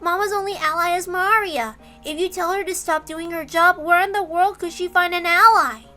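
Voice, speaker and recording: A woman voicing a small, childlike stuffed animal who gently consoles a friend in a story reading. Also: extremely high pitch, steady tone, moderate pace, soft background music. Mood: gentle, consoling. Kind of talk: dramatic reading of a visual novel.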